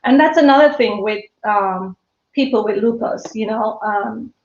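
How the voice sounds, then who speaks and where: A woman's voice: medium pitch, unhurried, echoey room, lo-fi sound. A woman in her fifties with a Jamaican accent blended with British and American sounds, chatting casually and laughing often as a guest on a live stream. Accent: Jamaican, mixed with British and American. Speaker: guest, small business owner.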